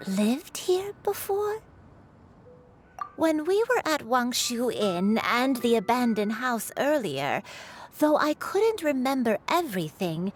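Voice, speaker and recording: A woman voicing a gentle, forgetful elderly grandmother who talks softly and haltingly. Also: high voice, full character voice. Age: older. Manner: soft, halting, bewildered.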